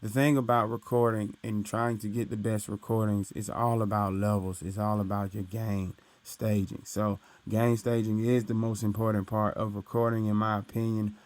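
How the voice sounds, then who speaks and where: Deep-voiced man, loose tutorial talk. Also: little pitch movement, moderate pace, hardly any pauses, a little mellow. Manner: explains loosely with frequent "right?" and "uh". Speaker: recording engineer teaching. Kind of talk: casual tutorial walkthrough. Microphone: studio microphone through his interface.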